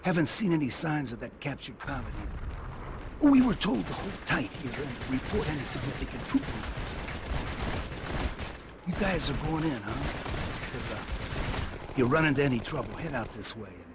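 An actor playing a matter-of-fact American soldier at a forward position in a video game cutscene. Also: male voice, medium pitch, big pitch swings, moderate pace, long pauses, background noise, close to an everyday voice.

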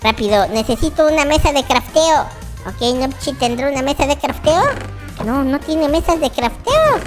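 A performer voicing an excitable baby boy hero in Minecraft roleplay commentary, childlike and dramatic, repeating short exclamations. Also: high voice, normal speed. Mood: focused, chatty. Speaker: gaming video host. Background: children's background music.

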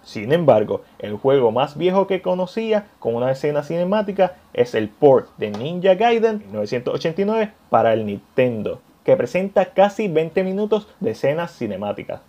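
A man hosts a scripted video essay in voice-over. His pitch sits a little high and moves around in an animated way as he addresses the viewers. The recording is slightly muffled. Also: normal speed, hardly any pauses. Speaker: host of a video channel on cinema and video games.

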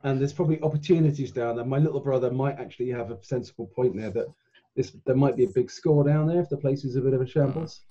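A man's voice: mid-range voice, quick pace, warm tone. A man chatting casually as a player in a tabletop role-playing game over a video call. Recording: dull, muffled online call audio.

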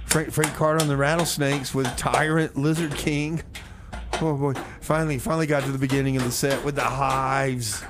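A man's voice: mid-range voice, little pitch movement, moderate pace, noisy background. A man hosting a casual music radio show with comic banter, stammering and rambling as he repeats phrases. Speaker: radio host.

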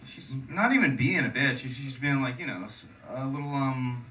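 A young man co-hosting a live podcast on a club stage with a handheld mic, rambling through stories and swinging between loud and soft. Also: medium pitch, moderate pace, far from the mic, rough recording. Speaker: podcast co-host. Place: comedy club stage before an audience.

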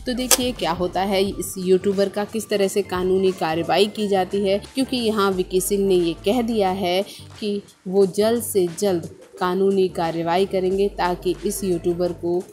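A woman's voice: mid-range voice, steady tone, normal speed, dark tone. A woman presenting entertainment gossip news as a scripted narration, explaining and restating points with emphasis. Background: background music.

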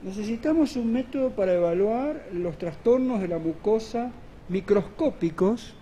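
A man's voice: high-pitched, warm tone, slight background noise. A middle-aged man giving a medical conference lecture at a podium in a large hall, explaining earnestly and posing rhetorical questions. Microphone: podium microphone on a stand.

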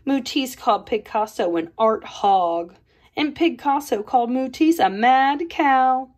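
A woman reads a children's picture book aloud. Her fairly high voice swoops and rises with every line, and she takes her time with the words. The reading is expressive and playful, in the manner of an art teacher sharing a story with a class. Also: measured pace.

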